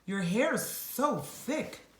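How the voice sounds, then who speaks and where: An earnest, kind little storybook boy, played by a woman, speaks politely in a high voice. His pitch moves a lot and the room echoes slightly. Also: animated, drawn-out words, a little hiss or hum. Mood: nervous. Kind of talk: storybook character voice.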